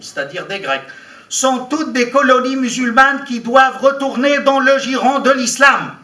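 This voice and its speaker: A man's voice: high voice, bright, forceful tone. A middle-aged man, a bilingual professor, lectures at a conference, reading translated texts with ironic asides and rhetorical questions. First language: Arabic. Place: large hall. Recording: echoey hall, mic at a distance.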